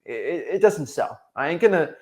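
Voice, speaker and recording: A man's voice: a little high, expressive, fairly quick, darkish tone. A young American man giving an unscripted motivational talk as a coach, emphatic and repetitive, with informal slangy phrasing. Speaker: online business coach.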